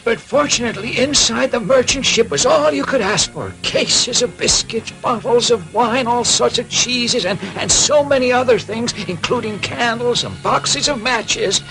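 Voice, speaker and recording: A man voicing a frail, loving old father in a cartoon dub, halting, tremulous and easily moved. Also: very high-pitched, sharp tone, faint music behind, exaggerated voice, rough recording. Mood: weary, recounting.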